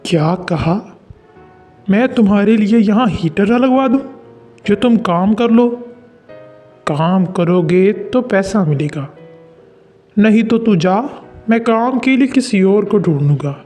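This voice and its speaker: A man voicing working men in a story, with a husky voice and scripted dialogue. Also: high voice, animated, slow, pauses often, mellow, soft background music, heavily acted. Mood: stern, dismissive. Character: several story men: labourer, boss, husband, officer.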